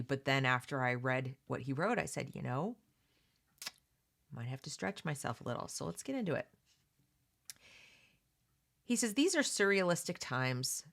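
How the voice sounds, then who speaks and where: Low-voiced woman, conversational and emphatic. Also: a bit bright. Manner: conversational, emphatic, often addressing listeners directly. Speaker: host of a read-along commentary channel. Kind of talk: reading a book aloud with casual, opinionated commentary.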